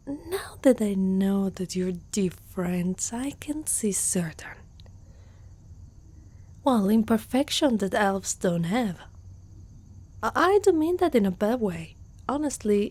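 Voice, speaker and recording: Soft, mellow centaur girl, voiced by a woman. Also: medium pitch. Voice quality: soft, breathy, often near whisper. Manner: tender, unhurried, speaking closely to one listener. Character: gentle, protective, curious young female centaur. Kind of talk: ASMR roleplay.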